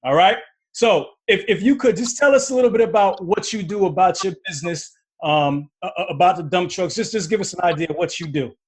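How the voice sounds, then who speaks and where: A man's voice: high-pitched, fairly quick, breathy edge. A man hosting a podcast, talking in a loose, casual way with slangy asides and many repeated words. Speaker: podcast host. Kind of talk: casual interview chat.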